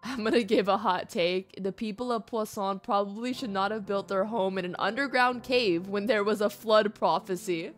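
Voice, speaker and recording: A woman's voice: mid-range voice, moderate pace, hardly any pauses. A woman livestreaming a game, talking in a playful, reactive, conversational way as she comments. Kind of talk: casual live gaming commentary. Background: faint game music.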